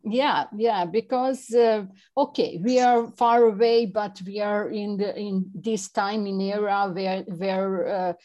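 A woman from Serbia with a Serbian accent, speaking English as a second language as an interview guest, halting with many fillers and restarts. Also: medium pitch, steady tone, darkish tone, muffled recording. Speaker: interview guest, chapter representative. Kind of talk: non-native conversational English interview.

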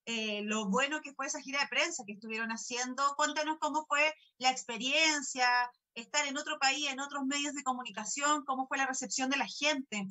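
A woman's voice: high voice, steady tone, brisk, few pauses, bright, forceful tone. A woman hosting a radio interview, asking friendly, leading questions.

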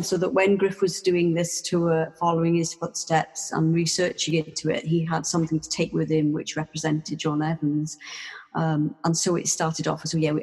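Woman, casual guest chat, rambling, trailing off, reverberant room. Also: low voice. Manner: rambling, many restarts and fillers, soft trailing delivery. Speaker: guest, textile artist.

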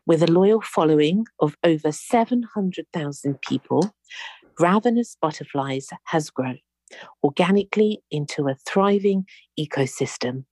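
A woman hosting an interview, with a very low voice for a woman. She reads an introduction and greets her guest effusively. The recording is dry and close, with no room sound. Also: expressive, normal speed, darkish tone, no room sound. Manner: effusive, gushing welcomes. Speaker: podcast host.

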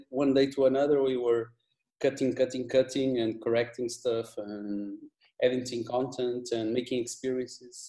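Slow-talking Portuguese-accented man, echoey. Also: mid-range voice, mellow. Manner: halting, many restarts and fillers. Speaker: video editor, guest. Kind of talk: casual interview chat.

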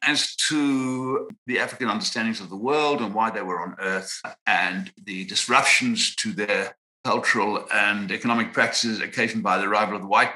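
A man in his sixties from Zimbabwe, a psychiatrist answering interview questions in a measured, reflective way with frequent pauses and restarts. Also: lowish voice, bright, forceful tone, low-quality recording. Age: 60s. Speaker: guest psychiatrist.

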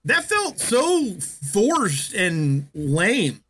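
A man co-hosting a film review chat, animated and jokey. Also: high-pitched, extremely slow. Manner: animated, jokey, acts out scenes in mock voices. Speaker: podcast co-host reviewing a film. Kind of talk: casual chat.

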